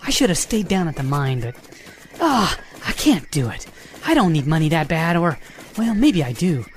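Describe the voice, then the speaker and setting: Woman playing young male hedgehog, cocky, breezy, slangy, animated intonation, amateur radio drama, slight background noise. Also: sits low, moderate pace. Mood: musing.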